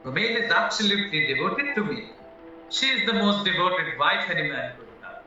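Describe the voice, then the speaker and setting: A man's voice: high-pitched, expressive, normal speed, bright, forceful tone, heavily acted. A man acting in an audio play as a naive, anxious young husband accused of murder, earnest and rambling as he protests. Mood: adoring. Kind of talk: stage-style audio drama acting. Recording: very echoey, muffled, distant, music underneath.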